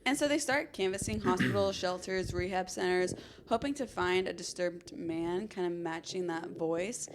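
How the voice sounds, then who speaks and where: A woman's voice: lowish voice, moderate pace, few pauses, a little hiss or hum. A young American woman hosting a podcast, telling a true crime story in an informal, conversational way. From Arizona, United States. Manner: informal, chatty. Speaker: podcast host.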